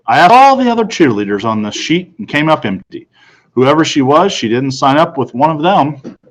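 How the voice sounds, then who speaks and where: A man's voice: medium pitch, poor audio. A man reading a first-person storybook narration aloud. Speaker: audiobook reader.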